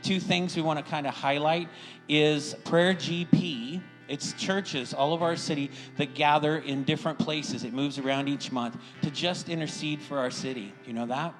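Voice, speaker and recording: Folksy American pastor over soft guitar. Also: male voice, medium pitch, poor audio. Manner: folksy, encouraging, checks in with "right?". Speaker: church pastor welcoming the congregation. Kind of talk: informal sermon and church announcements.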